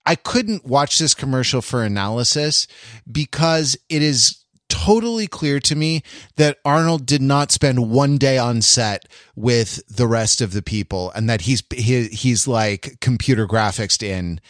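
A man hosting a casual podcast discussion, phrasing his thoughts in a halting, deliberate way. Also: mid-range voice, a bit bright, dry recording, clean studio sound. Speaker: podcast host. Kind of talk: casual pop culture discussion.

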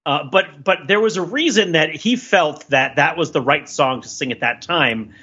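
A man with a bright, rough-edged voice thinks aloud as a podcast co-host. He talks casually but analytically, in long wordy, wandering sentences. The recording sounds a little dull and lo-fi. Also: fairly high pitch, moderate pace. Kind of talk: casual discussion and cultural commentary.

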